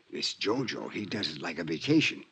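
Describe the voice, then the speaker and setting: A man's voice: low-pitched, sing-song, normal speed, fairly bright tone, full character voice. An actor playing an ailing, short-winded old male convict, eager and pleading, talking in conspiratorial street slang in a 1950s radio drama. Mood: sly, eager. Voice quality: raspy, breathy, gasping. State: weak, coughing, short of breath.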